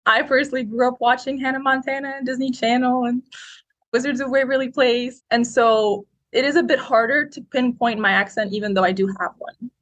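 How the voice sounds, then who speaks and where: A woman in her twenties, raised in Egypt, answering interview questions in a talkative, outgoing, expressive way. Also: high voice, a little fast, poor audio. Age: 20s. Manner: expressive, quotes people in lively voices. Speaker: guest.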